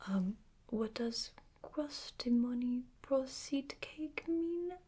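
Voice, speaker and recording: A woman voicing a humble, slow-witted, wistful bear in a children's bedtime story, with a hesitant, stammering manner. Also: fairly high pitch, lively intonation, dark tone, breathy edge, pitched above the performer's own voice, exaggerated voice. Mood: puzzled.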